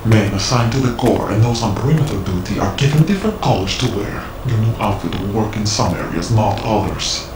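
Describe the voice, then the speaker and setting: An actor playing a mysterious, cryptic male informant in a video game cutscene, speaking in a measured, lecturing way. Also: lowish voice, background noise, lightly acted. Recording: roomy game mix with loud music.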